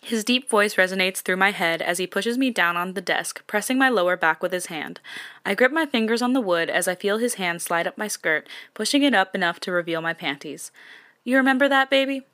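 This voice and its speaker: A woman podcast host reading a first-person romance story aloud in a quiet, intimate way, with self-corrections and laughing asides. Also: mid-range voice, fairly quick.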